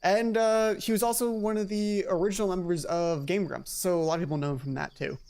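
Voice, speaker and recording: A woman's voice: on the low side, a little fast. A woman hosting a let's play, reading a visual novel aloud with casual asides, often correcting herself and reworking lines. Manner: animated, self-correcting, repeats lines to rehearse them. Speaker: let's play host and narrator. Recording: music playing behind the voice.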